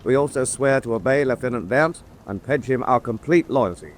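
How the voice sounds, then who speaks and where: Man acting as dutiful submarine chief, medium-pitched, formal declarative delivery, radio play, slight noise. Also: lively intonation, normal speed, natural voice. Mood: formal, resolute. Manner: formal, declarative, reading aloud. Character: formal, obedient U-boat chief petty officer.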